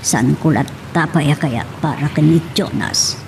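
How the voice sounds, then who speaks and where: A woman's voice: deep voice, measured pace, creaky, darkish tone, a little hiss or hum. A woman with an Ilocano accent playing a haughty, scheming wealthy madam in an audio drama. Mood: displeased.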